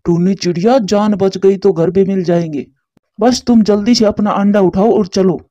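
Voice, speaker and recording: Cartoon pigeon friend, voiced by a man: very mellow, dull dry recording, protective, sensible, earnest. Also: high-pitched, fairly even intonation, moderate pace, no echo. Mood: urgent. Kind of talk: Hindi cartoon story dialogue.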